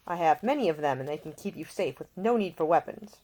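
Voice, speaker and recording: A woman voicing a seasick gentleman in a storybook, weary, gentle and affectionate. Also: sits low, animated, fairly quick, rough recording. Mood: earnest.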